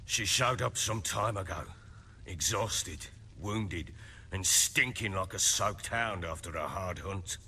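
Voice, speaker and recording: A man's voice: low voice, slow, pushed and bright, a little hiss or hum, exaggerated voice. An actor voicing a burly middle-aged baron in a fantasy video game, blunt and weary as he tells his tale. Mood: grim, measured.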